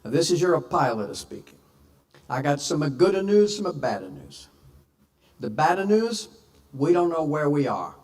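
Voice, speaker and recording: A man's voice: a little high, big pitch swings, measured pace, a little mellow. Breezy Italian airline captain on the intercom, mock accent, cheerful , put on by an older male speaker.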